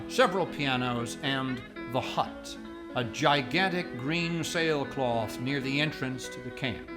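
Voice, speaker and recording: A man narrating a radio play as announcer, in a measured, formal old-time radio manner. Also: fairly high pitch. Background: plucked guitar music.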